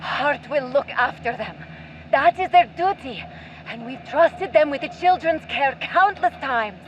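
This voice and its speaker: A woman's voice: expressive, unhurried. A woman playing a firm, loving mother and pilot in a radio play, earnest and dramatic. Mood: resolute, loving. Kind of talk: audio drama acting. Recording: muffled, faint vehicle rumble.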